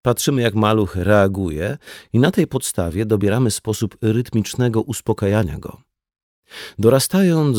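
A man's voice: on the low side, darkish tone, dry recording, studio quality. A man reading a conversational non-fiction audiobook as scripted narration. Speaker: audiobook reader.

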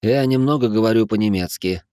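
A man voicing a soft-spoken, intelligent middle-aged man who speaks calmly with mild irony. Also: lowish voice, brisk, smooth, lightly acted. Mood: polite. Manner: calm, mild, ironic.

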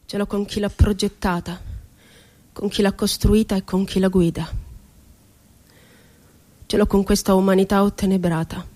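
An actress performing a theatrical monologue as an impassioned, rebellious, poetic woman, declaiming with deliberate, emphatic phrasing. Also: medium pitch, warm tone, natural voice. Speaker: stage actress.

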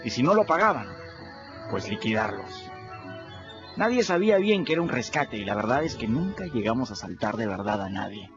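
A man's voice: mid-range voice, very animated, brisk, lightly acted. An actor playing a scrappy, candid boy who narrates his adventures in a radio drama, confiding in the listener. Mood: candid storytelling. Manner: conversational, confiding, addresses listeners directly. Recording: dull lo-fi radio drama with music.

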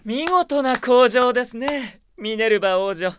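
An actor playing a smug, sinister young male mage in a radio drama, softly polite and taunting. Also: very high voice, animated, measured pace, slight background noise, heavily acted. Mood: coolly polite. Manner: soft polite speech laced with taunting.

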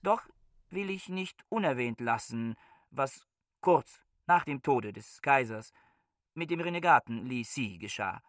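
An actor in a German radio play playing a fanatical, bookish scholar who speaks in solemn, pompous oratory. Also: male voice, mid-range voice, lively intonation, a little slow, a bit bright, no room sound, clean studio sound. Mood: scornful, solemn. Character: fanatical bookish male scholar haranguing his books like troops.

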